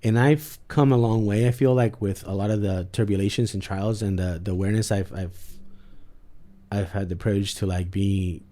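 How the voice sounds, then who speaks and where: Forties male guest, deep-ish and mellow, thinks out loud in loose rambling sentences. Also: fairly even intonation, moderate pace. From San Jose area, US. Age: 40s. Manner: rambling, lots of "like" and "you know what I mean". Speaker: guest artist. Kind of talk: casual reflective conversation.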